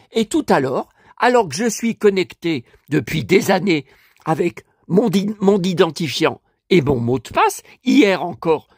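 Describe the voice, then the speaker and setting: Give me an older French man rambling and griping, his pitch rising and falling a lot. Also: dry recording, studio quality. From France. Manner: rambling, complaining, many restarts and repeated words. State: in pain after an injury. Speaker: collector vlogger. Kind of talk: casual monologue to camera.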